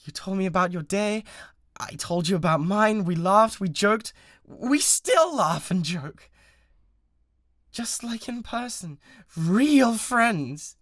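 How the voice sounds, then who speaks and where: A man acts out an obsessive young British yandere streamer in an intimate audio roleplay. His delivery is bratty and needy, swinging widely between hushed menace and insistent pleading. The recording is very dry and close to the listener. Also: high-pitched, animated, takes it slowly, dry recording, full character voice. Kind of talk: dramatised one-man roleplay addressed to the listener.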